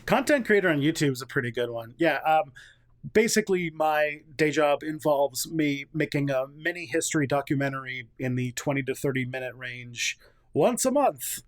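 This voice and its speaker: A man's voice: fairly high pitch, expressive, moderate pace, dry recording. A man in his thirties, a guest on a podcast, chatty and self-deprecating, laughing easily. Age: 30s. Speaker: guest, history content creator. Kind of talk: casual podcast interview.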